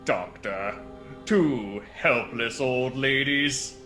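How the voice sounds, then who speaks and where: An actor playing a cold, easily angered criminal in a radio play, speaking in a deliberate, sinister way. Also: male voice, mid-range voice, sharp tone, faint music behind. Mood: cold, scheming. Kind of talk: old-time radio play acting.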